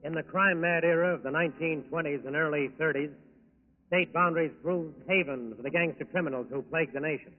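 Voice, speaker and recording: A man's voice: fairly high pitch, fast, soft background music. An American man delivering scripted crime narration in 1940s radio style. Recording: narrow, reverberant 1940s radio broadcast recording.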